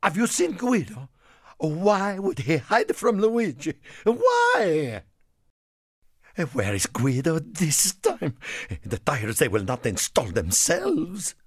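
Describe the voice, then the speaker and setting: A man voicing an excitable, warm-hearted Italian car in a video game, with an Italian accent and a theatrical manner. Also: fairly high pitch, takes it slowly, husky, dry recording, clean studio sound, full character voice. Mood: fretful, pleading. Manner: theatrical, refers to himself in third person. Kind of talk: animated video game character lines.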